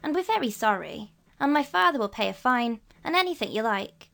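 A woman's voice: on the high side, sing-song, brisk, vocal fry, a bit bright, voice pushed up, exaggerated voice. A woman playing an earnest pleading boy. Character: earnest young boy caught out, brave.

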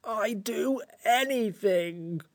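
A woman voicing a grumpy, weary, self-pitying furry magical creature in a storybook, with slow, complaining delivery. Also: sits low, lively intonation, gravelly, slightly rough, dry recording, full character voice. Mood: tearful, pleading.

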